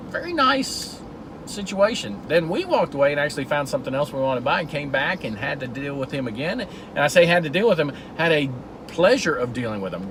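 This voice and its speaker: A man's voice: mid-range voice, noisy background. Man talking while driving. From Georgia, USA. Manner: earnest, storytelling, with repeated restarts. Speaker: vlog host. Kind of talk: casual talk while driving. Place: in a car.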